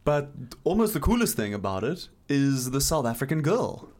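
A man's voice: mid-range voice, big pitch swings, normal speed, darkish tone. A man co-hosting a podcast, chatting casually and swearing, with blunt, teasing reactions. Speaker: podcast co-host.

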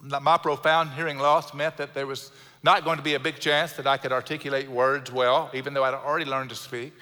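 A middle-aged man with a South Houston Texan accent, preaching a sermon in an earnest way, slowing down to stress key words and adding light self-deprecating humor. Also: mid-range voice, level tone, bright, forceful tone. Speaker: pastor. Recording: long hall reverb from a church sanctuary.

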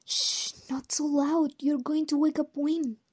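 A woman playing a caring, gentle, teasing young woman in an audio drama. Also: sits high, flat delivery, measured pace. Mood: concerned.

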